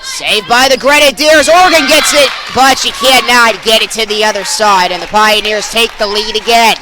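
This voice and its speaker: A young man calling live volleyball play-by-play, excitable and projecting over the action. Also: high voice, normal speed. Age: young adult. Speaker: play-by-play sports announcer. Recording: crowd and gym noise behind.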